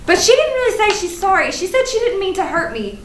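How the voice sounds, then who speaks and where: A woman's voice: extremely high pitch, very animated, a little fast. A woman acting an indignant, hurt young woman obsessed with social media, in an emphatic staged reading. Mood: torn, fretting. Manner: emphatic, repetitive, swearing. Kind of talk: theatrical staged reading of a comic play. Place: live staged reading in an echoey room. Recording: echoey, noisy stage recording from far off.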